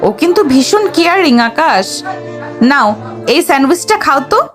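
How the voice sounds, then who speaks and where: A woman acting as a proud young rich bride in an audio drama. Her voice is very high, and she is bossy and sure of herself. Soft music sits faintly underneath. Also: big pitch swings, normal speed. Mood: sweet, coy. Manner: assertive, coy with elders, sharp with husband. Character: haughty, bossy young heiress, newly married, sweet-talking her grandfather.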